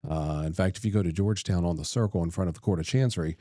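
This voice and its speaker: A man's voice: low-pitched, mellow, dry recording, studio quality. A middle-aged man from Delaware, a state senator, chatting as a guest in a casual interview and telling stories in an easygoing, folksy way. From Delaware, USA. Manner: easygoing, folksy, unhurried storytelling.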